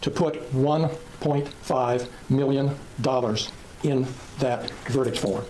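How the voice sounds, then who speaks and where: A man, a lawyer, giving a formal and measured closing argument to a jury in court. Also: mid-range voice, a little mellow, a little hiss or hum, low-quality recording. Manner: measured and formal, listing demands.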